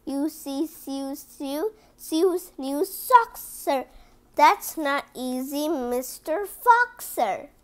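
Lady w/ really high voice doing a kids read aloud, super slow n careful, chats to the listeners. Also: animated. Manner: slow, deliberate word-by-word reading with chatty asides to listeners. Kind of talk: children's picture book read-aloud.

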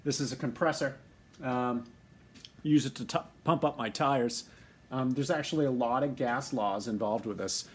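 A young man, a science teacher, explaining car maintenance to his students in a relaxed, chatty way with plenty of ums, filmed on a phone in his home garage. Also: medium pitch, normal speed, husky. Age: young adult. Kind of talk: casual how-to lesson video. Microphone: phone camera held close.

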